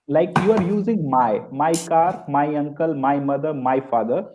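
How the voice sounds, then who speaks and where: A man's voice: on the high side, moderate pace, a little mellow. A man with an Indian English accent, a native Hindi speaker, earnestly explaining things with examples on an online panel. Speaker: French teacher on a panel.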